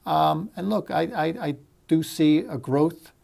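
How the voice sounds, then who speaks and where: A middle-aged American man answers interview questions as a fire chief. His voice is relaxed and soft-edged, with plenty of gravelly fry. He talks haltingly, often circling back over his phrases. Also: fairly high pitch. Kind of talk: sit-down interview conversation.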